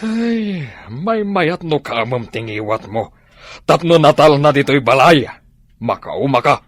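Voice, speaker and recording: Casting: a gruff, womanizing Ilocano husband, unhurried delivery, wide pitch swings, radio drama. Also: animated, no room sound, studio quality. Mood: irritated. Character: gruff philandering husband and businessman, smooth with women.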